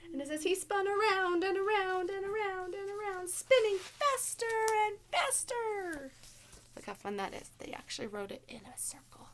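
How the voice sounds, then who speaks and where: A woman's voice: extremely high pitch, lively intonation, moderate pace, a little hiss or hum. A woman teacher reading a picture book aloud to children, expressively and with simple questions for the listeners. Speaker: elementary school teacher.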